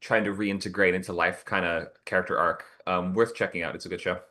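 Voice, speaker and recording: Deep-voiced man losing his voice. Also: steady tone, brisk, bright, forceful tone, slight background noise. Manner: friendly, encouraging, chatty. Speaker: workshop host. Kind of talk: casual chat leading an online workshop.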